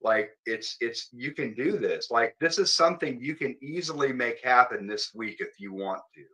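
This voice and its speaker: A man's voice: medium pitch, animated, moderate pace, bright, forceful tone, slightly rough, low-quality recording. A man in a casual panel discussion, speaking informally and emphatically, often repeating himself. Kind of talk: casual debate chat.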